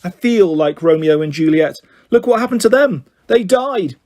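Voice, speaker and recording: A man's voice: high-pitched, expressive, normal speed, mellow, pitched above the performer's own voice. A man voicing an emotional young schoolgirl, earnest and plaintive in a children's storybook reading. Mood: defiant, dramatic. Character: emotional, dramatic young schoolgirl telling her best friend she misses her.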